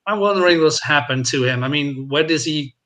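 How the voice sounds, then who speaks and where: A man chatting casually on a film discussion panel, with halting, repetitive phrasing. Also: on the high side, fairly bright tone. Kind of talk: casual chat.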